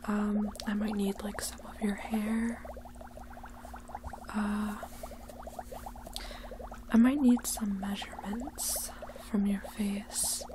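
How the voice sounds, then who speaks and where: A young woman in her twenties playing a reclusive, awkward witch in a soft-spoken ASMR roleplay, hesitant and low-key. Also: fairly even intonation, takes it slowly, pauses often, natural voice. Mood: calm, obliging. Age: 20s. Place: indoors, small set with painted backdrop. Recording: clear background noise, bubbling ambience.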